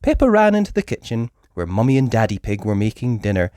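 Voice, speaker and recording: A woman reading a children's story aloud as an expressive storyteller. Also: rumbling low, fast talker, no room sound, studio quality.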